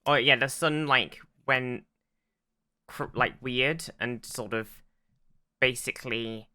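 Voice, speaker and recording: Male co-host, strikingly bright and smooth, informal and excitable, recapping TV in loose conversational phrases. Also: mid-range voice, slow pace. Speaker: podcast co-host. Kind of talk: casual TV episode recap chat.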